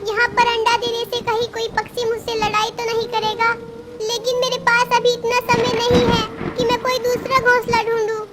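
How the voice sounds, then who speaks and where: A tiny cartoon bird speaks in a very high, thin voice, as a woman puts her on. She sounds timid and apologetic, and she pleads softly. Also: flat delivery, moderate pace. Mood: worried. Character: small timid female bird, pleading mother. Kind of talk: cartoon story voice acting.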